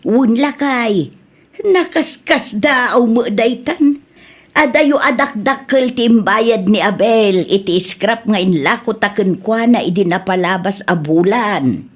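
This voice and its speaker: A woman's voice: fairly high pitch, takes it slowly. A woman with a Filipino Ilocano accent playing a poor older wife who sells scrap, in a radio comedy-drama. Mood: grumbling. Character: older poor wife selling scrap, complaining to her husband.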